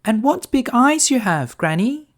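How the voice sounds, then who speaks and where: A man puts on a sweet little girl from a storybook, pitched very high. She sounds innocent and polite, with a sing-song swing to her words. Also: sing-song, unhurried, exaggerated voice. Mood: wondering. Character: sweet, trusting little girl in a fairy tale.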